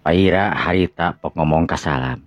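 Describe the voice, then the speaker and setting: A man's voice: very deep voice, normal speed, dry recording. A man with a Sundanese accent narrating a dramatized radio story in a conversational way, correcting himself as he goes. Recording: muffled lo-fi audio with music behind.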